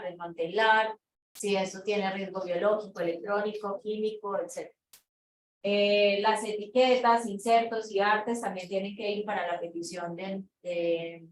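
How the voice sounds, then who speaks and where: Female conference presenter, Colombian accent, explanatory delivery, picked up from a distance with some background noise. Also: mid-range voice. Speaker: conference speaker, researcher. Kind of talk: lecture presentation to an audience. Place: lecture room. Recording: echoey room, distant mic, background noise.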